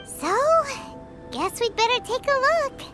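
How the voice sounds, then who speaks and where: A woman voicing a tiny, childlike, excitable fairy companion with a squeaky voice in an anime-style video game. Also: a little slow, heavily acted. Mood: excited. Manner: speaks of herself in the third person, excitable. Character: tiny childlike floating fairy companion, perky and easily indignant. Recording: music behind the voice.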